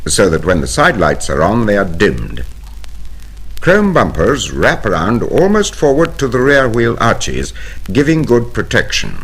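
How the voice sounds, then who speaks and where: Casting: British male narrator for a vintage training film, lowish husky voice, formal and precise, 1970 vinyl record sound. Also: fairly bright tone, poor audio. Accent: British English. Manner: formal, precise, measured explanatory delivery. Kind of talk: scripted instructional narration.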